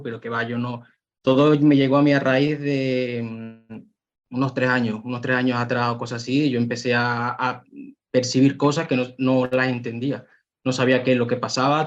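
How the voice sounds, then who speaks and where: Flat-voiced man on dull lo-fi audio. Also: medium pitch. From Ecuador. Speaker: guest, a nurse. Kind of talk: interview answers.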